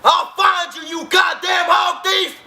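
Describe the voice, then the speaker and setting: A man playing a furious hog owner shouting threats at a thief in a stage monologue. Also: extremely high pitch, flat delivery, unhurried, a faint crowd, heavily acted. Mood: furious, threatening.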